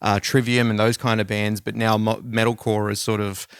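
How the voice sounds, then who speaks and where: A man's voice: on the low side, normal speed, clear, resonant tone, no room sound, studio quality. A man co-hosting a podcast, chatting casually and rambling with lots of fillers. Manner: rambling, filler-heavy, relaxed. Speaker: podcast co-host.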